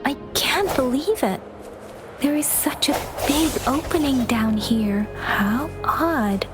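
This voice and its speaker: A woman voicing a gentle, earnest young female outrider in a video game cutscene, speaking softly and reflectively with hesitant pauses. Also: high voice. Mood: quiet wonder. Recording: game music behind the voice. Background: game soundtrack music.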